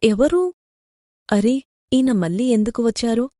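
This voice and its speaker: A woman voicing a gentle, kind young princess in hiding, humbled, in a cartoon moral story. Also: a little high, expressive, unhurried, dry recording, studio quality. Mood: surprised, shy.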